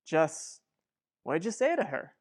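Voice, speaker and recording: A woman's voice: low-pitched, very animated, measured pace, a little mellow, heavily acted. A woman playing a level-headed, exasperated, protective sibling in an old-fashioned radio soap opera, matter-of-fact and chiding. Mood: concerned.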